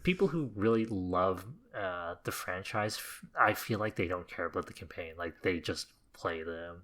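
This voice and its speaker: A man with a low voice and a bright, crisp edge to it. He speaks as a podcast co-host in casual gaming conversation. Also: normal speed.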